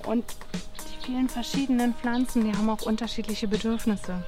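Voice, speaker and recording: A woman giving a calm, measured scripted introduction to a church talk. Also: fairly high pitch. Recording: music bed under voice.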